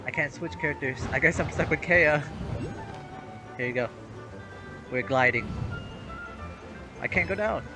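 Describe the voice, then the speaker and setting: Man, livestream gameplay commentary, somewhat high pitch, casual, joking, thinking aloud, music clearly underneath. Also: unhurried, long pauses. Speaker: game streamer. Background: game music and sounds.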